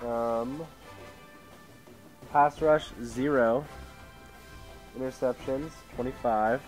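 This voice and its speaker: A man's voice: medium pitch, fairly even intonation, extremely slow, faint music behind. Sick teenage boy with a sore throat. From Idaho, USA. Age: teens. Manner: rambling, self-interrupting, reads out settings. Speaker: gaming YouTuber. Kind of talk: casual gameplay commentary. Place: at home. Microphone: headset mic with tangled cords.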